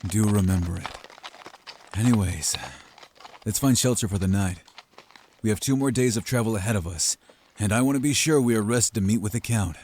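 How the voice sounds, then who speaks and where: A man's voice: fairly low pitch, moderate pace, long pauses, darkish tone, lightly acted. A man playing a stern, hot-headed veteran vampire hunter in an audio roleplay, commanding and confrontational. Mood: stern, watchful. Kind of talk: dramatic audio roleplay acting. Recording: echoey hall reverb with music underneath.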